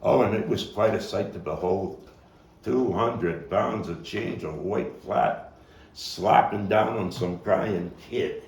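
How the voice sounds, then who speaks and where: A man performing a theatrical monologue as a coarse, wry, streetwise Brooklyn man looking back on his boyhood gang, telling it with dramatic pauses and emphatic swells. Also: low-pitched, creaky, darkish tone, exaggerated voice. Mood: wry, nostalgic. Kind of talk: theatrical monologue, table read. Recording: roomy, distant mic, faint noise.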